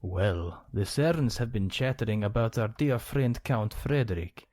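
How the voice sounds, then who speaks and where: Man playing a low-voiced, suave scheming count. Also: animated, a little slow, dark tone, dry recording, clean studio sound. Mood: amused, gossipy. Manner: genteel, theatrical, arch phrasing. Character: suave scheming male count, gossipy merchant councillor. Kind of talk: ASMR roleplay acting.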